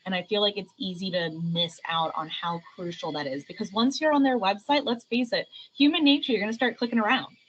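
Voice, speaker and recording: A woman's voice: medium pitch, a little fast, few pauses, fairly bright tone. A woman in her thirties or forties chatting casually as a guest on a video call. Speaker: panel guest. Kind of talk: casual chat. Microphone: video call microphone. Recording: noisy, dull video-call audio.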